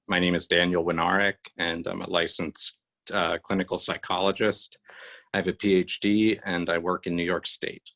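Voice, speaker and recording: A man with a very deep voice speaks in a flat, even line. He gives a measured statement, with clicking heard behind him. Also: creaky. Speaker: clinical psychologist. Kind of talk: video statement.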